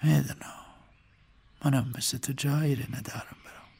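A man doing a calm, firm woman director. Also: medium pitch, creaky, a little hiss or hum, pitched above the performer's own voice, lightly acted. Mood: soothing. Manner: soft, slow coaxing, sometimes near whisper. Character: middle-aged woman zoo director, calm but firm. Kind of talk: audiobook character voice.

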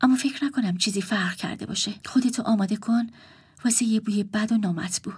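A woman's voice: medium pitch, a little mellow, husky. A woman voicing a desperate pleading young sister. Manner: pleading, earnest.

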